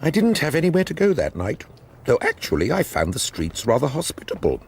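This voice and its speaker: A man's voice: medium pitch, huge pitch swings, a little fast, creaky, husky, full character voice. An actor playing an older, down-on-his-luck former teacher in a radio drama, hesitant and dryly ironic. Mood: wry. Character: down-on-his-luck former teacher, wry, indignant, awkward man. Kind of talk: radio drama acting and narration.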